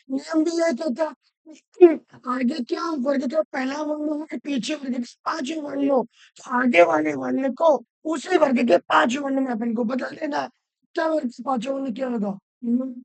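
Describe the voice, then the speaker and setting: A woman teaching Hindi grammar, hoarse from a cold, asking questions and answering them herself while repeating the rules loudly. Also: high-pitched, dry recording. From Rajasthan. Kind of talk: classroom-style lesson.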